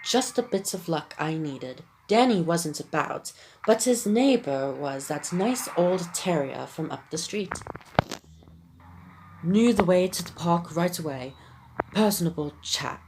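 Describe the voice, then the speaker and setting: A woman's voice: lowish voice, expressive, slow pace, darkish tone, slight background noise, low-quality recording. A woman with a phlegmy, sick throat reading a video game's dialogue for a proper, devoted male Dalmatian father in a plain voice. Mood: earnest. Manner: reads game dialogue aloud in a plain voice.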